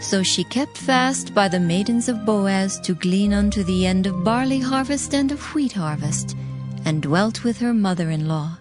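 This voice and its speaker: Mid-pitched woman narrating scripture. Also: measured pace, over music.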